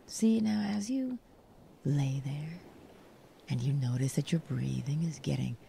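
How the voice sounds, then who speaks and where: A woman guiding a sleep meditation in a soothing, breathy voice that often drops near a whisper, with unhurried pauses between short instructions. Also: sits low, mellow, a little hiss or hum. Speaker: guided meditation host.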